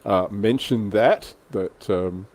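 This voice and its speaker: A man's voice: lowish voice, takes it slowly, darkish tone. A man hosting a livestream in casual chat, with a joking, self-deprecating and mildly sweary manner. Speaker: livestream host.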